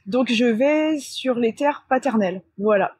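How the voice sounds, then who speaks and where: A woman with a slightly husky voice talks casually to viewers, explaining things in a halting way with self-corrections. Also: on the high side, animated, a little mellow. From France. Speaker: channel host and spiritual coach. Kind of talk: casual vlog talk to viewers. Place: outdoors beside a large tree near a road.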